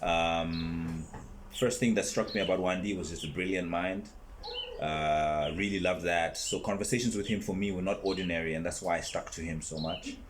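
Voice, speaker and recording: A man, born in Zambia, talking as the guest in a relaxed podcast interview, measured and reflective. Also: low voice, slight background noise. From born in Zambia, raised in South Africa. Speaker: guest, brand co-founder.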